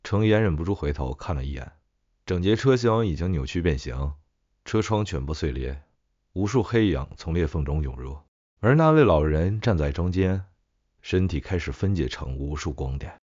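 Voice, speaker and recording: A man's voice: deep voice, expressive, normal speed, a little mellow, no echo, lo-fi sound. A man narrating a scripted ghost story as an audiobook.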